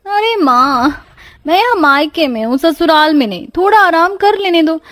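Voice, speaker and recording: A woman's voice: extremely high pitch, lively intonation, moderate pace, fairly bright tone, no echo, studio quality, pitched above the performer's own voice. A woman voicing a carefree, lazy young college woman in a story, with a breezy, whiny manner. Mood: breezy, defiant.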